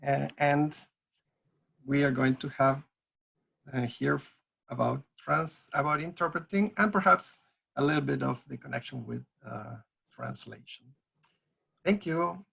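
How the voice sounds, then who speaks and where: Halting, gravelly-voiced event organizer. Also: medium pitch, a bit bright. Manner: halting, many ums and restarts. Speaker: program organizer introducing a panel. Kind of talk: opening remarks on a video call.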